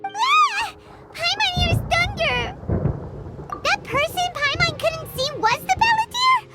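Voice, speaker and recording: Squeaky, very high fairy companion. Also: female voice, sing-song, slow, loud music behind the voice, exaggerated voice. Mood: alarmed. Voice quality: squeaky, childlike. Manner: refers to self in third person, exclaiming. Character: tiny childlike floating fairy companion, excitable and blunt.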